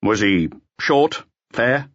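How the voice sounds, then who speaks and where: A man voicing a calm, gruff police inspector in his forties, terse and commanding. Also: mid-range voice, slow, no room sound, lo-fi sound, voice pushed up. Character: calm, gruff, bulky male police inspector of forty-five.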